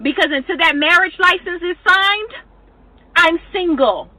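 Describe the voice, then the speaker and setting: A woman's voice: extremely high pitch, measured pace, pushed and bright, no room sound, poor audio. A woman with an American accent delivering a blunt, sassy, emphatic monologue.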